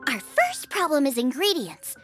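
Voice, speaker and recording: A woman's voice: sits high, wildly swooping pitch, moderate pace, music in the background. A woman voicing an eager, bubbly young girl cook in a video game, youthful and decisive. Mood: eager, planning. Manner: enthusiastic, decisive. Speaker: game character voice actor. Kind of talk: animated game dialogue.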